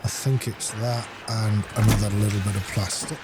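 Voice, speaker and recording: A man's voice: lowish voice, little pitch movement, moderate pace, over loud music. A man giving casual let's-play commentary, talking viewers through each step in an informal, instructional way. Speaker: game streamer and playthrough host.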